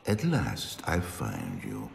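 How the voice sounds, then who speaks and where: An actor playing a stern, commanding pursuer in disguise in a game cinematic, speaking in a measured, knowing, interrogating way. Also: male voice, extremely low pitch, huge pitch swings, creaky, full character voice. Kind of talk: dramatic game cinematic acting. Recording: echoey long reverb, faint noise.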